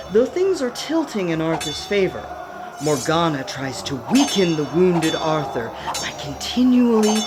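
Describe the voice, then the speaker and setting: A woman narrating a scripted radio play with measured, dramatic storytelling. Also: fairly low pitch, noisy background.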